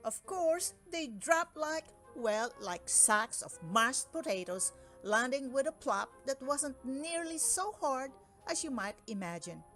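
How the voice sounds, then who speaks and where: Woman reading a kids bedtime story, really slow and careful, super up and down expressive. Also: high voice, faint music behind. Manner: expressive, deliberate delivery. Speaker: storybook reader. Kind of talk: children's read-aloud storytelling.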